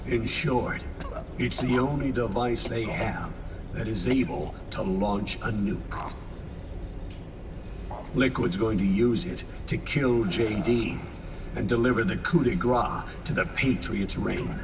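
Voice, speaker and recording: A man playing a grave older commander in a video game, solemn, measured and authoritative. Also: fairly low pitch. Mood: solemn, urgent. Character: grave older male commander briefing his operatives. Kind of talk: dramatic video game acting. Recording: distant, reverberant, noisy vehicle ambience.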